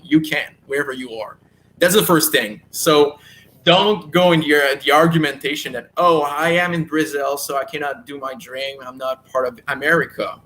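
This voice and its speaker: A man's voice: a little high, bright, forceful tone, slight background noise, poor audio. A man with a Quebec French accent, French as his first language, speaking eagerly as an interview guest and often restarting words as he searches for them in English. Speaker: guest comic creator. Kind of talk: casual interview talk.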